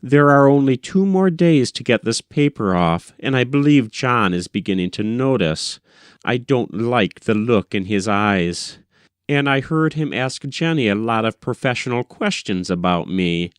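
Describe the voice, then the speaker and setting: Man, audiobook reader, mid-pitched voice, literary narration, measured delivery, dry close sound. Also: expressive, clean tone, no echo. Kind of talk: scripted literary narration of a first-person short story.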